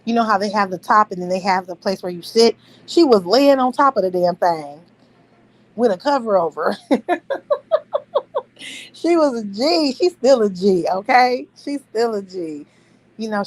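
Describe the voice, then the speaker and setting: Woman, thirties, casual storytelling host, swings up and down in pitch, laughs through her story. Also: unhurried. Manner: animated, profane, laughing storyteller.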